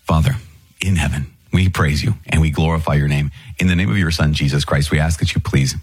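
A man's voice: very deep voice, fairly even intonation, a little fast, husky, no room sound. A man, a priest hosting a teaching podcast, explaining things conversationally and rephrasing mid-thought into a close mic. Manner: thinks aloud, rephrases mid-sentence.

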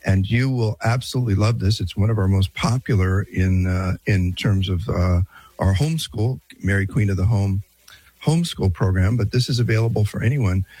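A man's voice: on the low side, normal speed, dark tone, husky, dry recording. A man hosting a radio show, moving from the interview into a break and a friendly, conversational promo.

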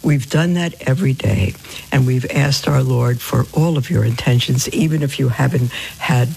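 A woman hosting live devotional radio in a hoarse voice, talking informally and haltingly with affection for her listeners. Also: extremely low pitch, a little mellow, slight background noise, rough recording. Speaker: religious radio host.

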